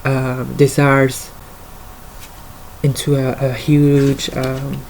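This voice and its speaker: A man's voice: mid-range voice, monotone, drawn-out words, creaky, darkish tone, slight background noise, poor audio. A man with a French accent, a native French speaker, giving a casual tarot card reading in English, talking hesitantly with many fillers. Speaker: tarot reader.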